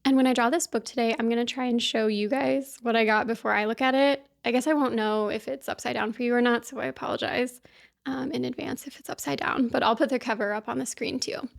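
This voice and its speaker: A woman from talks straight to her viewers in a casual book vlog. Her voice sits a touch on the high side, and her manner is chatty and upbeat, full of little asides. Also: fairly even intonation, fast talker, creaky. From Kansas City, US. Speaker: book vlogger. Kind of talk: casual chat to camera.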